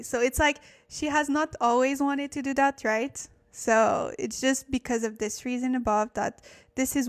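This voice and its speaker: A woman whose first language is French, speaking English while hosting a casual livestream Korean lesson, thinking aloud with fillers and self-corrections. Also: fairly high pitch. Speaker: livestream host teaching Korean.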